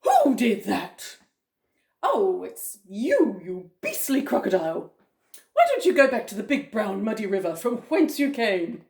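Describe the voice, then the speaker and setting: A big, outraged elephant from a children's tale, voiced by a woman. The words come out loud and emphatic in a scolding tone, with plenty of swing in the pitch. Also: huge pitch swings, measured pace, vocal fry, echoey room, exaggerated voice.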